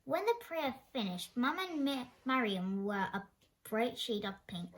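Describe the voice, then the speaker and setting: Expressive woman reading a children's story, lively pitch. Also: measured pace. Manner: expressive, with stumbles over words. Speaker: storybook reader. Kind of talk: read-aloud of a children's story.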